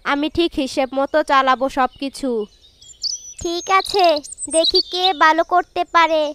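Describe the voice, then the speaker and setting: A woman puts on a very high-pitched young cartoon wife bird. She sounds girlish, earnest and thrifty, like a character in a moral tale. The track is clearly noisy, with birds chirping behind. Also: unhurried, bright, forceful tone. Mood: determined. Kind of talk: children's cartoon moral story dialogue.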